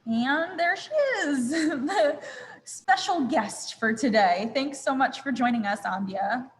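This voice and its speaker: A woman's voice: sits high, slow, in a large hall. Chatty woman host on a video call. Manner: friendly, chatty, with frequent ums. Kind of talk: web chat interview over video call.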